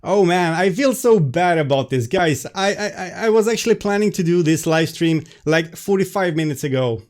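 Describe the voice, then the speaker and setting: A man's voice: high voice, expressive, normal speed. Flustered Swedish man on a live stream. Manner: flustered, apologetic, many restarts and fillers. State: stressed. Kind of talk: casual live stream chat. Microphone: webcam.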